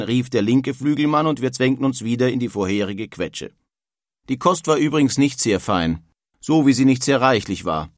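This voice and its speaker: An actor playing a wryly bitter emigrant from past centuries, reading out letters expressively in a measured way for a radio feature. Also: male voice, medium pitch, no echo, clean studio sound, close to an everyday voice.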